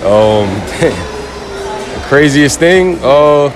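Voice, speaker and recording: A man's voice: on the high side, expressive, drawn-out words, music in the background, a crowd in the background. A young man answering interview questions in a mall, laid-back and full of "you know". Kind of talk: casual answers. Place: indoor shopping mall. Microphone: interviewer's handheld phone.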